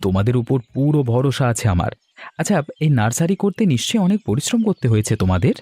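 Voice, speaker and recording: A man playing a polite admiring customer, soft mellow tone, earnest, cartoon story, faint background music. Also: mid-range voice, very animated, fast talker, slightly rough, dry recording. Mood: admiring, trusting. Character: friendly, appreciative male flower customer. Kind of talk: cartoon moral story voice acting. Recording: music under the voice.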